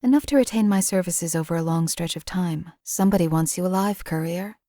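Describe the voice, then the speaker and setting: A woman voicing a cold, calculating female assassin companion in a game, with a calm, detached, clipped delivery. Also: on the low side, brisk, dry recording, studio quality, natural voice. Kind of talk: game voice lines.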